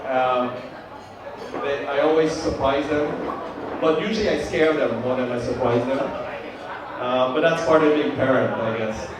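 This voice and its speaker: A Vietnamese-born man in his fifties, whose first language is Vietnamese, gives a halting, filler-heavy birthday speech to guests at a party. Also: mid-range voice, background noise. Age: 50s. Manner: halting, many fillers and restarts. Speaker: birthday host giving a speech. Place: large room at a party. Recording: echoey party room, mic far off. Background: crowd of guests.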